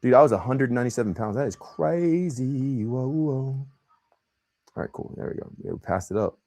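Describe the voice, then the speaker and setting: Young male host, loose slangy livestream banter, lively pitch swings. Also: moderate pace. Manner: animated, slangy, says bro and man a lot. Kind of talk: casual livestream chat.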